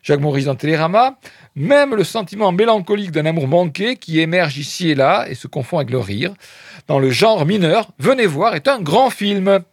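A man's voice: high voice, expressive, normal speed, no echo, studio quality. A man presenting film reviews on the radio, reading press quotes and adding wry, hesitant asides full of fillers.

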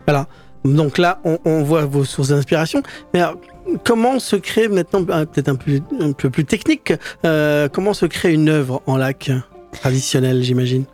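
A man's voice: fairly high pitch, moderate pace, a little mellow, faint music behind. A man co-hosting a local radio show, asking a guest friendly, wordy questions. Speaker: radio co-host and technician.

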